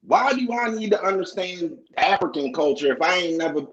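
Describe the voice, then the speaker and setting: A man argues his points in loose, slangy talk during a heated informal debate. His voice is husky and swings up and down a lot, heard through a dull, lo-fi connection. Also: sits high, expressive, brisk, a bit bright. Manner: argumentative, slangy.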